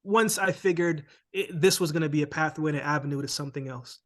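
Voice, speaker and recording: Relaxed Jamaican-born man telling his story. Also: fairly high pitch, quick pace. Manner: relaxed, says "man" and "right?" often. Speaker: interview guest, former sprinter. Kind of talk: casual storytelling interview.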